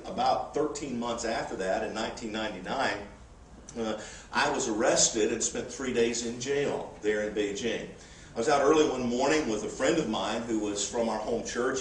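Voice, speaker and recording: A man's voice: mid-range voice, roomy sound, distant mic, a little hiss or hum, poor audio. A missionary in his forties, giving a folksy, humorous church report with frequent "uh" fillers. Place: church sanctuary.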